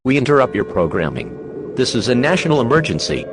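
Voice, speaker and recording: A man reading a scripted emergency alert broadcast in a steady, formal announcing manner. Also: medium pitch, brisk, noisy background. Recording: music playing behind.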